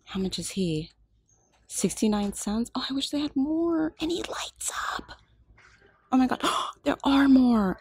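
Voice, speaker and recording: A woman filming a shop-with-me vlog in a craft store aisle on a handheld phone, excited and gushing, often dropping to a whisper. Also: on the high side, slightly rough, no room sound. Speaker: shopping vlogger.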